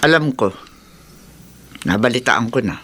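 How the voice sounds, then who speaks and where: A woman's voice: a bass voice, expressive, measured pace, a bit bright, breathy edge, heavily acted. A woman playing an elderly Filipino grandmother who is secretly a monster, in agitated, emphatic horror radio drama style. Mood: grave.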